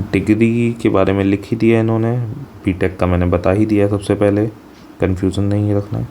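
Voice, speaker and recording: Low, gravelly Indian man explaining informally. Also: dark tone, a little hiss or hum. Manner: code-switches between Hindi and English, often adds short check-in tags. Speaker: exam coaching teacher. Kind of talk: informal explainer of a job notification.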